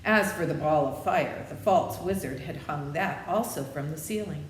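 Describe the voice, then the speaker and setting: A woman's voice: deep voice, moderate pace. A woman reading a children's story aloud, with chatty asides to her listeners. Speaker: storybook reader. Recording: echoey room with faint noise.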